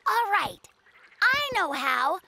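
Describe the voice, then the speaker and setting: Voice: extremely high pitch, sing-song, takes it slowly, gravelly, noisy background, heavily acted. An actor voicing an earnest, gullible, slightly pompous little polar bear cub in a children's cartoon. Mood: earnest, solemn.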